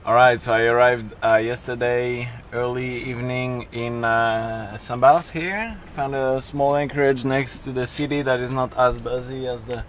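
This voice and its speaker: A man in his thirties from France with a French accent, casually narrating a solo sailing trip, relaxed and chatty with many fillers. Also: mid-range voice, normal speed, few pauses. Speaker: solo sailor vlogger. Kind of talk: casual video diary. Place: small sailboat cockpit at sea, outdoors. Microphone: action camera held at arm's length. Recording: wind and sea noise on action camera. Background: wind and water noise.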